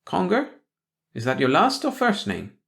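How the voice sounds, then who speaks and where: A man voicing a curious, friendly teenage girl who speaks in a warm, interested way. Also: high voice, wildly swooping pitch, measured pace, vocal fry, pitched above the performer's own voice, heavily acted.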